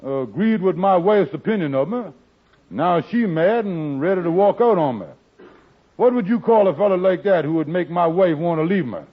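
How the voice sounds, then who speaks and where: Brief: bossy blustering schemer, high voice, lo-fi vintage radio. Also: male voice, expressive, normal speed. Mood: aggrieved. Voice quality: blustering, emphatic. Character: blustering scheming middle-aged male lodge boss. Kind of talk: 1940s radio comedy acting. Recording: dull lo-fi vintage radio recording.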